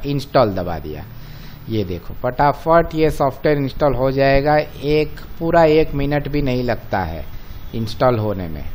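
Indian guy doing a screen tutorial, voice a bit high, step by step, informal, lots of english tech words mixed in. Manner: explanatory, addresses viewers as friends, mixes in English terms. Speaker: software tutorial presenter.

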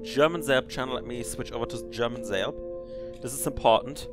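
A man's voice: medium pitch, a little fast, music in the background. A man in his twenties hosting a casual podcast, rambling and correcting himself as he reacts to an old recording of himself. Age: 20s. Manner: rambling, self-correcting, teasing his past self. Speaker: podcast host.

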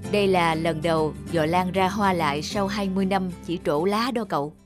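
A woman playing a gentle, devoted middle-aged florist in an animated drama, speaking softly and reflectively. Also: on the low side, moderate pace, natural voice. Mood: wistful. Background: background music.

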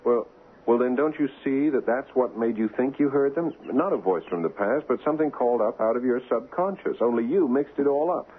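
A man's voice: mid-range voice, brisk, phone-quality sound, close to an everyday voice. Skeptical, teasing young fiancé, played by a male actor, radio drama; thin old broadcast with faint noise. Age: young adult. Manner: incredulous, wry, animated. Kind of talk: 1970s radio drama acting.

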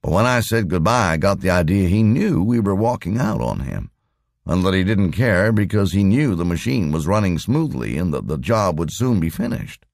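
A man's voice: sits low, big pitch swings, normal speed, gravelly, darkish tone, dry recording, studio quality, pitched above the performer's own voice, exaggerated voice. A man reading an audiobook voices an easygoing male engineer in a plain, slightly raised voice. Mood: musing. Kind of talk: audiobook dialogue.

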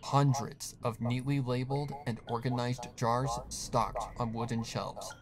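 A man's voice: mid-range voice, fairly even intonation, unhurried, over music. A man reading a scripted horror story as the narrator. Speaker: fan fiction narrator.

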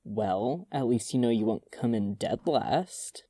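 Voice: mid-range voice, lively intonation, takes it slowly, mellow, slight background noise. An actor playing a cheeky, friendly man in his early twenties in a casual audio drama, playful and teasing. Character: cheeky, friendly 22-year-old man, Bailey.

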